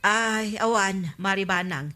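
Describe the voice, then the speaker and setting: A woman's voice: mid-range voice, slow, sharp tone, a little hiss or hum. A woman playing a disapproving, gossipy villager with an Ilocano accent in a radio comedy drama, explaining things emphatically.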